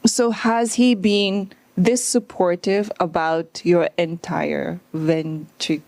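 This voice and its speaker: A woman's voice: lowish voice, steady tone, slow, vocal fry, a little mellow, no echo. A woman hosting a casual chat interview in an effusive, informal, exclaiming way. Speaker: interviewer, host.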